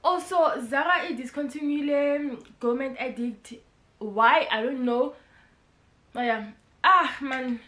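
Young woman, Pretoria accent, high bright voice, playful chatty vlog, echoey room. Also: slow pace. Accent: South African, Pretoria. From South Africa. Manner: playful and animated, bursts into snatches of song. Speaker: vlogger. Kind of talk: casual vlog chat mixing English and Sepitori. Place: indoors at home, in front of a brick wall.

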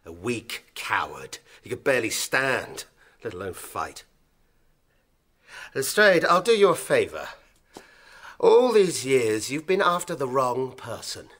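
An actor playing a bitter, defiant young constable turned killer, husky and sneering, in a radio drama. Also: male voice, medium pitch, sing-song, takes it slowly, pauses often, a bit bright, exaggerated voice. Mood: contemptuous. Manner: sneering, taunting. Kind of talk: British radio drama acting.

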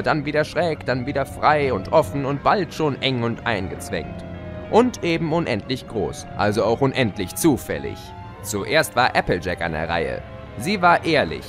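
A man's voice: mid-range voice, lightly acted. A man playing a gloating, mischievous chaos spirit who tells of his schemes in a theatrical, teasing way in an audio drama. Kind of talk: German fan audio drama, storytelling. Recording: music behind the voice.

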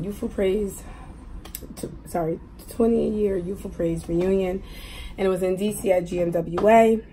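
Hoarse, slow-talking middle-aged woman. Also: mid-range voice, warm tone. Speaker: mukbang host. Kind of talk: casual eating vlog chat. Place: indoors at a table at home. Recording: clearly noisy room recording.

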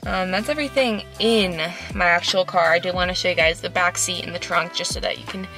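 A woman's voice: on the low side, fairly even intonation, fairly quick, music in the background. Chatty young woman vlogging. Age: young adult. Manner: chatty, bubbly, giggly. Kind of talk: casual car tour vlog. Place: inside a parked car. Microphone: handheld phone camera.

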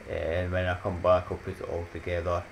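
A man's voice: low-pitched, completely flat delivery, a little fast, creaky, a little hiss or hum, rough recording. A Scottish man in his thirties chatting casually to his viewers in a rambling, upbeat way, laughing at his own jokes and dropping motivational sayings. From Scotland. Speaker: YouTuber. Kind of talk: casual chatty vlog outro. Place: small dim room at home.

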